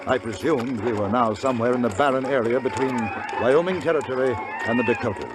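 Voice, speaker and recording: A man's voice: mid-range voice, fast, over loud music, natural voice. An actor playing a polite, bemused English newspaperman in a 1950s radio Western, formal and reserved with an English accent. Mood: wry, composed. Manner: formal, reserved, hesitant when puzzled.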